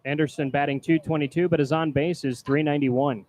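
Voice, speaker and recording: A man calling live baseball play-by-play from a booth as announcer, projecting loudly on the calls. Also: a little high, steady tone, a little fast. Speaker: baseball play-by-play announcer, also doing public address. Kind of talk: live play-by-play sports commentary. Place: press booth at a ballpark. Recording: clear background noise.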